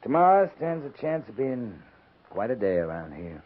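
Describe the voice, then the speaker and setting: An actor playing a steady, courteous middle-aged American cavalry captain in a 1950s radio western, measured and authoritative. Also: male voice, mid-range voice. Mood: gentle, reserved. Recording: muffled, noisy 1950s radio recording.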